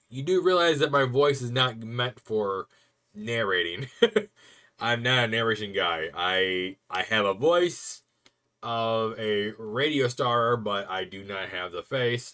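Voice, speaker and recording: A young man rambling casually to viewers on a stream, chatty and quick to laugh. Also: lowish voice, sharp tone.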